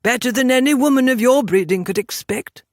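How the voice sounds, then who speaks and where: A woman voicing a wealthy, condescending English gentleman in an audiobook, with formal and measured phrasing. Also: fairly high pitch, no room sound, clean studio sound, pitched above the performer's own voice, close to an everyday voice.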